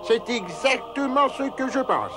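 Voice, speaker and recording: An actor playing an eager, earnest traveller in a cartoon. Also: male voice, very high-pitched, lively intonation, unhurried, over music. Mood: hopeful. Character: eager, earnest traveller seeking a newborn king. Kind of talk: French cartoon dub acting.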